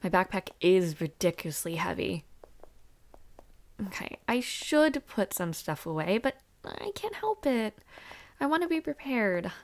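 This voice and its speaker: A woman's voice: medium pitch, sing-song, measured pace. A young woman playing a chatty, over-prepared university student in a roleplay audio drama, talking casually and a little hesitantly. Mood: winded, complaining. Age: young adult.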